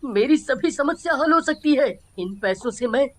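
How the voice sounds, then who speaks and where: A woman's voice: high-pitched, expressive, moderate pace. A woman voicing a humble, honest, anxious poor father in a story, speaking earnestly. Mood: astonished. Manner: earnest, worried.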